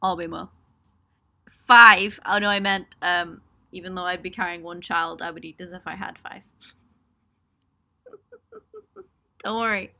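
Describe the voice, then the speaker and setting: A woman's voice: lowish voice, lively intonation, unhurried, gravelly, long pauses, bright, forceful tone. A young woman chatting casually on a webcam livestream from a small home studio, answering viewer questions with lots of ums, laughs and self-mocking jokes. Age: young adult. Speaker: musician hosting a livestream for supporters.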